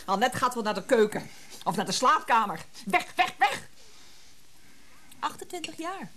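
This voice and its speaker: An actress playing a jealous, scornful middle-aged wife in a radio play, with a cutting, sarcastic manner. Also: female voice, on the high side, very animated, normal speed, bright, forceful tone, slight background noise. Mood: bitter, hurt. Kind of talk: naturalistic Dutch radio play acting.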